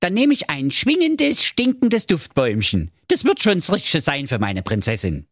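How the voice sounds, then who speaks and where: A man's voice: a little high, wildly swooping pitch, normal speed, no echo, clean studio sound, pitched above the performer's own voice, full character voice. A man voicing a boastful, dim-witted young male suitor in a comic fairy tale, brash and blunt. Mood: eager.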